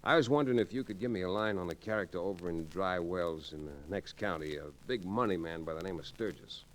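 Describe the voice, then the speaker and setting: Low-voiced, laconic frontier lawyer played by a man. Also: fast, close to an everyday voice. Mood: cool, probing. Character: cool, steady frontier lawyer hero, an adult man. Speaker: lead actor and narrator. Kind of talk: old-time radio western acting. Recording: noisy old radio recording.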